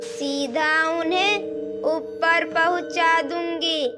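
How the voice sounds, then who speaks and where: A woman's voice: very high voice, steady tone, over music, heavily acted. A woman playing a bossy, threatening witch in a cartoon horror story, drawing out her words. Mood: boastful threat. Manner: bossy, threatening, slow drawn-out delivery. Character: menacing female witch demanding onions from villagers.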